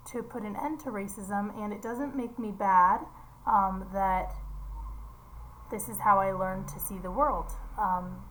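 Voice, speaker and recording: A woman's voice: medium pitch, a bit bright, a little hiss or hum, low-quality recording. A woman reading a picture book aloud to young children, explaining as she goes and putting simple questions to her listeners.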